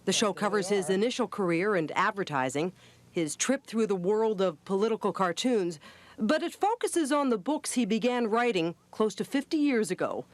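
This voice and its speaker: A woman's voice: medium pitch, expressive, moderate pace. An American woman narrating a scripted television news report from the 1980s. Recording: 1980s archive TV news audio.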